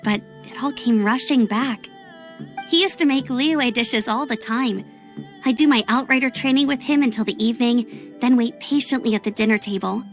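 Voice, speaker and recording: A woman's voice: on the high side, close to an everyday voice. A woman playing a friendly, sincere young outrider girl in a video game, speaking in a casual, chatty way. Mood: sheepish, warm. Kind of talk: video game cutscene acting.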